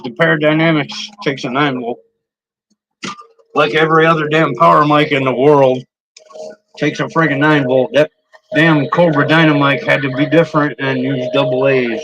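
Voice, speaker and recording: A man's voice: fairly high pitch, fairly bright tone, a little hiss or hum, poor audio. A man rambling casually about his CB radio microphones, swearing freely and often restarting his sentences. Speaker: CB radio hobbyist streamer. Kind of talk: casual rambling show-and-tell.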